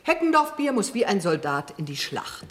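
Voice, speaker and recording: An actress playing a brisk, dutiful businesswoman in a radio play, matter-of-fact and unsentimental. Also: medium pitch, sing-song, lightly acted. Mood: brisk, businesslike. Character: brisk, dutiful businesswoman running a family brewery. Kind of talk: German radio play acting.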